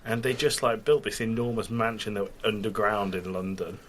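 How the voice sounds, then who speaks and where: A man's voice: lowish voice, little pitch movement, fairly quick, a bit bright. A man co-hosting a casual podcast, sweary and easily sidetracked into anecdotes, getting over a cold. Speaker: podcast co-host. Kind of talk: casual chat, telling anecdotes.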